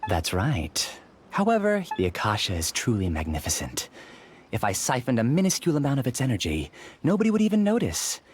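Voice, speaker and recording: An actor playing a polite, calculating, obsessed scholar in a video game, with a courteous, theatrical delivery. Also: male voice, medium pitch, huge pitch swings, a little fast, slight background noise. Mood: smug. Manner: courteous, theatrical, smooth-talking.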